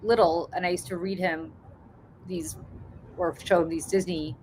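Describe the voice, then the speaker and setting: Woman, 40s, from Russia, native Russian speaker, low voice, chatty podcast host, faint background noise. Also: normal speed, a little mellow, poor audio. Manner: chatty, laughs while telling stories. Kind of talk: casual chat.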